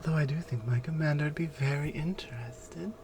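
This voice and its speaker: A woman playing a stern, proud Amazonian warrior with a captive, in a taunting, deliberate ASMR roleplay. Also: low voice, noisy background. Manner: taunting, deliberate, hushed at times.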